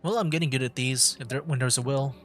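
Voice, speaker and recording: A man gives casual commentary on a game livestream. His pitch is average, and he talks his way through things in hesitant, halting bursts. Light music plays behind him. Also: a bit bright. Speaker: streamer hosting a video game livestream.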